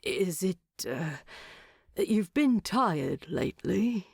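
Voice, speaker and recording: A woman voicing a gruff, concerned dwarf king in a storybook narration, terse and guarded, teasing with a straight face. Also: on the low side, very animated, takes it slowly, darkish tone, no room sound, studio quality, exaggerated voice. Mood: hesitant concern. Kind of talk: fan fiction narration with character voices.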